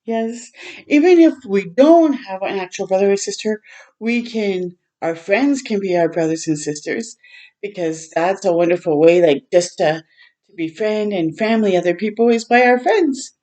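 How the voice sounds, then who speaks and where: A woman hosting a storytime for children, reading a picture book aloud in a gentle way and pausing to chat and explain simply. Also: medium pitch, lively intonation. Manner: gentle, simple explaining to young listeners, with pauses to comment.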